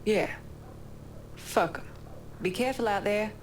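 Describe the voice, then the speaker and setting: A woman's voice: medium pitch, unhurried, slight background noise, close to an everyday voice, low-quality recording. An actress playing a tough, foul-mouthed woman with a casual, streetwise manner in a video game cutscene. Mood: subdued. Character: tough, foul-mouthed woman, teasing old friend, privately insecure.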